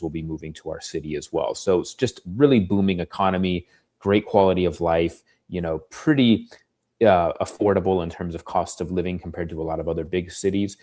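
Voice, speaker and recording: A man's voice: low voice, a little mellow. An American man, a university dean, giving an informal, conversational webinar presentation with frequent filler phrases. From United States. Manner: conversational, frequent "you know".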